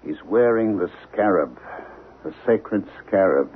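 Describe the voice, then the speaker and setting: Deep-voiced, obsessed husband on old radio. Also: male voice, lively intonation, unhurried. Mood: grimly insistent. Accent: American. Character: obsessed, stubborn husband turned amateur sleuth. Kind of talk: 1970s American radio drama acting. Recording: noisy, narrow-band 1970s radio recording.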